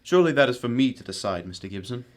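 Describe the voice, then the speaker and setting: A man's voice: medium pitch, animated, fast talker, close to an everyday voice. A man playing a cool, exacting detective in an amateur radio drama, speaking in a formal, probing manner. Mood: probing, stern.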